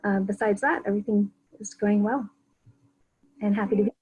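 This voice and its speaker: A soft-spoken woman in her thirties, originally from Argentina, joins a chat as a guest. Her voice is a little husky and mid-pitched, and she talks hesitantly. She is calling in from her home in Texas. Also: steady tone, a little fast, rough recording. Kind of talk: casual video-call chat.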